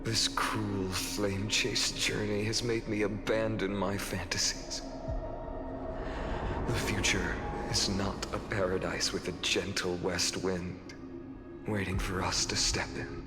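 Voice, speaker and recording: An actor playing a weary but determined young hero in a video game, stern and defiant, with grave, deliberate delivery. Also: male voice, low-pitched, little pitch movement. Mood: grim resolve. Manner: grave, deliberate, clipped questioning. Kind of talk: scripted video game voice acting. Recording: long cavernous reverb, loud music behind. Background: game music.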